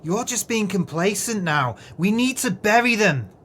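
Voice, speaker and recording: Worried male conspirator for audio drama, played by a man, higher-pitched, careful and serious, light ambience. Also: animated, a little slow, fairly bright tone, a little hiss or hum. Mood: grave, insistent. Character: anxious, cautious male accomplice in murder.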